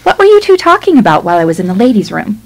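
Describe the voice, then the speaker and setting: An actress playing an exasperated, pragmatic middle-aged wife in a radio play, wry and insistent. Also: female voice, mid-range voice, huge pitch swings, rapid-fire, gravelly, full character voice. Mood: probing. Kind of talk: stage-style radio play acting.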